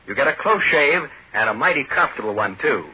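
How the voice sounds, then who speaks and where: Casting: male vintage ad announcer, very rough husky tone, muffled radio sound. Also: mid-range voice, expressive, fast. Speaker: commercial announcer. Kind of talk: scripted 1940s radio advertisement. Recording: muffled 1940s radio recording.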